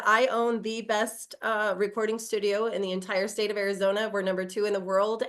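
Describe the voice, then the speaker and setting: Heartfelt woman telling a rambling story. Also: medium pitch. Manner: heartfelt, rambling, many fillers and restarts. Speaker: guest singer. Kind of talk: emotional personal storytelling on a video call.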